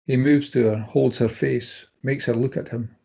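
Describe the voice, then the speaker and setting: A man's voice: medium pitch, steady tone, moderate pace, creaky, dark tone, slightly rough. A man reading stage directions as scripted narration for a drama table read.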